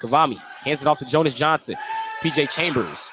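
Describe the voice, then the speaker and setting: A man's voice: medium pitch, fairly quick. A man calling live basketball play-by-play for radio from a noisy indoor gym. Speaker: play-by-play announcer. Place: indoor school gymnasium. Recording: noisy gym ambience, bouncing balls.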